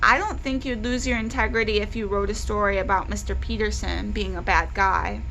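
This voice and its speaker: A woman's voice: mid-range voice, fairly even intonation, brisk, vocal fry, bright, forceful tone, slight background noise, rough recording. A woman voicing a curious, persistent young boy who questions his father in a children's novel read aloud. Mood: insistent. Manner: questioning, insistent.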